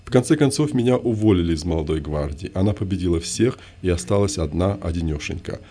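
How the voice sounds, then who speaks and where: A man with a fairly low voice reads his memoir aloud in the first person. He keeps a measured, even pace, ticking off events one by one with terse, repeated phrases. The tone is steady and plain. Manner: measured, list-like delivery with terse refrains. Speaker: memoir narrator.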